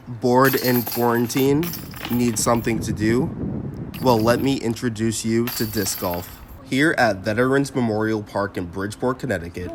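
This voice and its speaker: An upbeat man delivers a student news report with a persuasive, inviting tone. His pitch sits in the ordinary middle range. Faint outdoor noise and passing traffic drift behind him. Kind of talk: news package narration and stand-up. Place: outdoor park.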